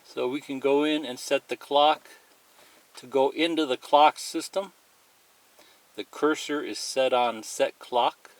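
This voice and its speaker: A man's voice: medium pitch, fairly even intonation, slow pace. A man giving a casual, plain-spoken, step-by-step demonstration as a tutorial presenter. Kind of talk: casual hands-on product demonstration. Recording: clearly noisy amateur recording, clicks and beeps.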